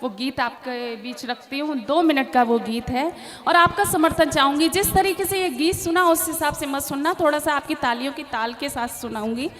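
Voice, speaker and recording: A woman poet at a mushaira, singing her verses in a drawn-out lilt into a stage microphone and chatting with the crowd between them. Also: sits high, a bit bright. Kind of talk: sung poetry recital. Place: outdoor evening poetry stage. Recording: long reverb, faint music.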